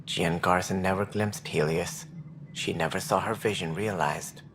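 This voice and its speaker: An actor playing a stern, proud alien administrator in a video game, speaking in formal, measured, clipped phrases. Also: male voice, low-pitched, steady tone, noisy background. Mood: somber. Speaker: alien colony director.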